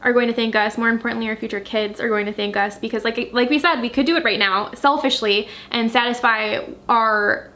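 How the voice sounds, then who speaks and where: A woman in her late twenties talks through plans in a casual home vlog, earnest and heartfelt, with a ready giggle. Her voice is bright and a touch high, and she speaks from their apartment living room. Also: steady tone, a little fast. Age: 20s. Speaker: vlogger. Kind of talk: casual couple vlog chat.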